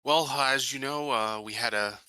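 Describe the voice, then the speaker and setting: A man playing a tabletop roleplaying game, speaking casually and haltingly as a questioning sheriff. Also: mid-range voice, bright, forceful tone, smooth, dry recording.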